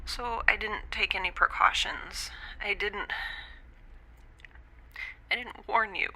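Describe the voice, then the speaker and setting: A woman in an audio drama speaking a halting, often whispered confession. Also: mid-range voice, fairly even intonation, bright, forceful tone, poor audio. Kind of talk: scripted audio drama monologue.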